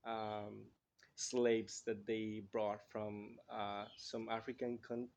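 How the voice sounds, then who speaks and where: A man's voice: lowish voice, level tone, slow pace, gravelly, darkish tone. Hesitant man. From Colombia. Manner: hesitant, searching for words. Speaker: interviewer. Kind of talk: casual interview.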